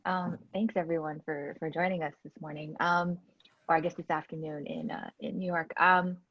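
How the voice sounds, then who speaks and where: A woman's voice: low-pitched, level tone, moderate pace, no room sound. A woman from Hong Kong on a panel, talking casually about her background with many fillers. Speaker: venture investor panelist. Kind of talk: panel talk, personal background. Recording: dull lo-fi sound.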